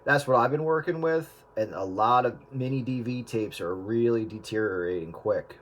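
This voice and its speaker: A man hosting a casual radio chat, explaining in a relaxed way with frequent fillers. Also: medium pitch, normal speed, heavy background noise. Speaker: radio show host. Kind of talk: casual chat about converting old media.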